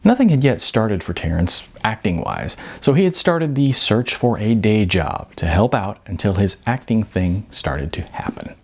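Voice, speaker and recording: A man's voice: lowish voice, very animated, measured pace, hardly any pauses. A man narrating his own novel as an audiobook, reading fiction as its author.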